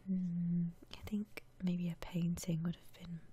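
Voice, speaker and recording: A woman whispering softly and breathily as she muses aloud through ASMR game commentary. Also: lowish voice, monotone, breathy edge. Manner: unhurried, soft-spoken musing aloud. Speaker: host of an ASMR gaming channel.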